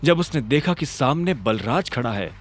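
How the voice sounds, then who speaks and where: A man's voice: medium pitch, expressive, normal speed. A man narrating a scripted audio drama in a dramatic storytelling style. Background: music.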